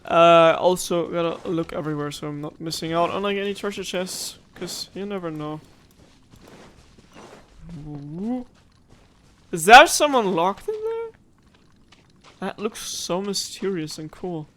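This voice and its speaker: High-voiced young man chatting over a game. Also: unhurried, long pauses. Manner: rambling, chatty, excitable. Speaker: gaming YouTuber. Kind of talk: casual gameplay commentary.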